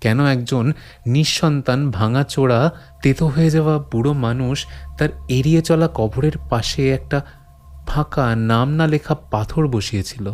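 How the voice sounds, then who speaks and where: A man playing a grave first-person narrator of a horror audio story, solemn and halting, drawing out phrases for suspense. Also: mid-range voice, a little mellow, natural voice. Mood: grave, hushed dread. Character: grave male narrator recounting old forbidden horrors to his friend. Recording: long reverb on the voice.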